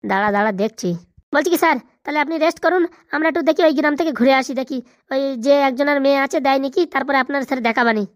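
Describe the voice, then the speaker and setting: A woman plays an obliging, loyal village helper in a comic cartoon. The high voice races along, eager to please, in dialect, recorded dry. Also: racing, no echo, close to an everyday voice. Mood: conspiring. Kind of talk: comic Bengali cartoon dialogue.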